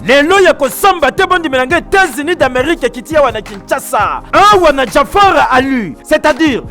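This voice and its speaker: Man ad announcer, very high voice, bright and punchy, sing-song, music underneath. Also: sing-song, fairly quick. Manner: hyped, sing-song delivery. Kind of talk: radio-style advertisement.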